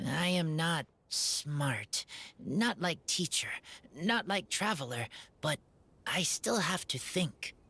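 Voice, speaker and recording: A woman voicing an earnest, shy teenage boy raised by wolves, speaking in simple, halting sentences for a video game. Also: sits low, animated, fairly bright tone, slightly rough, full character voice. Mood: pensive, earnest.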